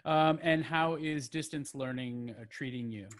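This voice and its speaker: A man hosting an open mic over a video call, rambling warmly with lots of fillers and restarts. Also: a little high, measured pace.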